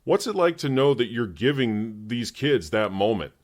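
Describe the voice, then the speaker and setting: A man's voice: mid-range voice, very animated, moderate pace. An American man hosting a conversational sports interview, chatty, with long lead-ins to his questions. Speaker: interviewer, host.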